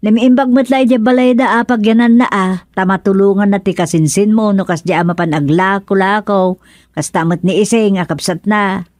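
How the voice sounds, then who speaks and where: A woman's voice: medium pitch, steady tone, normal speed, darkish tone, no echo, studio quality, close to an everyday voice. A woman playing a chiding village gossip with an Ilocano accent. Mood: nagging. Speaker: radio drama actor. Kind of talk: radio comedy drama acting.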